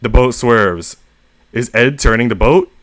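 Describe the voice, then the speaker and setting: A man reading first-person short stories aloud as host, with expressive emphasis on the narrator's exasperation. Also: medium pitch, measured pace, no echo, low-quality recording. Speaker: story reader and host.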